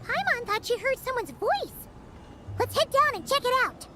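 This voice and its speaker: A woman voicing a tiny, childlike, excitable fairy companion in a video game, squeaky and bubbly. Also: normal speed, full character voice. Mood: excited. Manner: exclamatory, bubbly.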